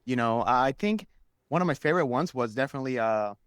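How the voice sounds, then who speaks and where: A man's voice: medium pitch, lively intonation, fast talker, clear, resonant tone, no room sound, clean studio sound. A man whose first language is Spanish, chatting enthusiastically and casually as a guest telling stories on a podcast. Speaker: interview guest, superfan.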